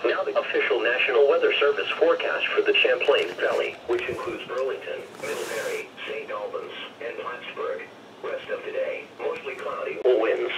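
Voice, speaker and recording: A computerized American man's voice delivers weather bulletins in measured, clipped phrases. It comes out of a radio speaker some way from the microphone, with noticeable noise around it. The pitch is an ordinary middle male one. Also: animated, fairly bright tone. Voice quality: synthetic text-to-speech voice. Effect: weather radio broadcast. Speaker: automated weather radio announcer. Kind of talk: scripted weather bulletin reading. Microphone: radio receiver picked up by a camera mic. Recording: noisy, distant, muffled radio speaker pickup.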